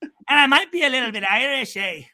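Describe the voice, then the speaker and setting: A man's voice: very high voice, lively intonation, fast, pushed and bright. A man in his thirties chatting casually as a podcast co-host, rambling and joking with frequent swearing. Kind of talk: casual chat.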